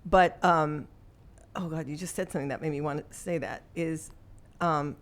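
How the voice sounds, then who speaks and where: Lower-voiced woman telling stories, laughing often. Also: fairly even intonation, moderate pace. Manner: chatty storyteller, laughs often, frequent restarts. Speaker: songwriter guest. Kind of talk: casual interview chat.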